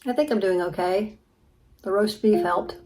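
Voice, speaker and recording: A woman's voice: mid-range voice, moderate pace, darkish tone, poor audio. Female game participant, middle register, casual short answers. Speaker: player of an interactive audio story.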